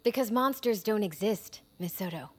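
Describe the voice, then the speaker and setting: A woman's voice: medium pitch, animated, moderate pace, husky. A woman acting a skeptical, pressing government interrogator in an audio drama, formal, clipped and accusing.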